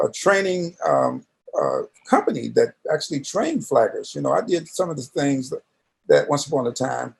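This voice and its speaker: An older man in a casual interview conversation, talking in a halting, rambling, folksy way. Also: medium pitch, huge pitch swings, creaky.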